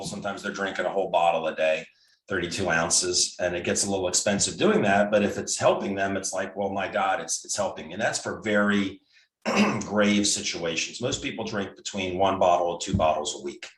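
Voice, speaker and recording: A man's voice: deep voice, moderate pace, fairly bright tone. A man in his sixties, a physician guest, explaining things step by step in a casual, conversational way with frequent restarts. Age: 60s. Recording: roomy and distant from mic.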